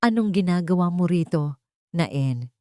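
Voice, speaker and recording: A woman's voice: on the low side, expressive, unhurried, a little mellow, smooth, no echo, clean studio sound. A woman voicing an angry, arrogant husband and CEO in an audio drama, commanding and switching into English.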